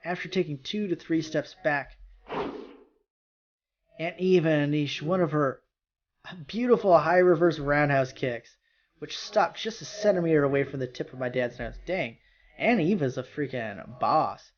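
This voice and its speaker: A man's voice: on the high side, measured pace, dull audio. A man reading a visual novel's narration aloud, breaking off for casual reactions, with music behind him. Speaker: amateur visual novel reader and player.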